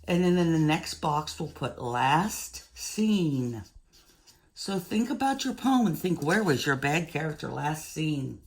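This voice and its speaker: Slow, low-voiced woman teacher. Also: sing-song. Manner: deliberate, step-by-step. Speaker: elementary school teacher. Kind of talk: instructional talk to young children. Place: room at home.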